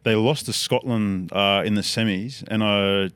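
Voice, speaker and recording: Deep-voiced, smooth Australian man. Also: unhurried, no room sound. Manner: relaxed, rambling storyteller. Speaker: podcast guest. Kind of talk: casual chat.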